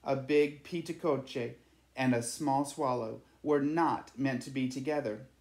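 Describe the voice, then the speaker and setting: Male storyteller, children's read-aloud, mid-pitched voice, strongly roomy recording. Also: fairly even intonation, a little slow, darkish tone. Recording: echoey room.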